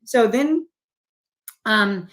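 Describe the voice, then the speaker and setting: A woman's voice: on the high side, expressive, extremely slow, a bit bright, rough recording. A middle-aged woman, a guest in an interview, speaking emphatically and with rhetorical questions aimed straight at the listener. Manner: insistent, rhetorical questions, direct address to viewers. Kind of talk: emphatic political interview talk.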